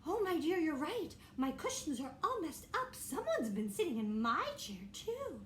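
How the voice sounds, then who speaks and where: Woman doing a fretting high mother bear. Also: sing-song, normal speed, echoey room, slight background noise. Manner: fretful, repeats herself.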